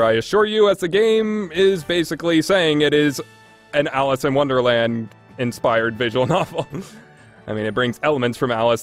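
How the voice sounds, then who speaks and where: A man delivering a scripted review narration in an opinionated, emphatic way. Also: medium pitch. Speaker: reviewer, list host.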